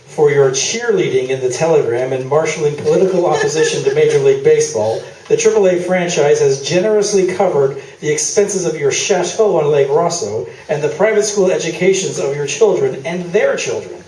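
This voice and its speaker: A man's voice: on the high side, a little fast, a little mellow, close to an everyday voice. A man playing a dry, needling interviewer in a comic stage radio play, formal and pointed in his questioning. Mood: sardonic. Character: dry, needling male interviewer grilling a guest. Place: small theatre stage, live performance. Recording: echoey stage, distant noisy camera mic.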